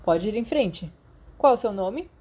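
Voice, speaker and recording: An encouraging male professor from a fantasy storybook, acted by a woman, with a Brazilian accent. He teaches with enthusiasm in a lifted, soft-edged voice. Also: high voice, animated, unhurried. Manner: enthusiastic, instructive. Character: cheerful, encouraging male professor of magical creatures.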